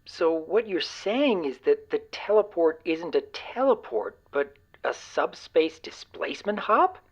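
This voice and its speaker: A woman playing an inquisitive, businesslike team leader in an audio drama, probing and brisk. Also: on the low side, big pitch swings, dull audio. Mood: curious.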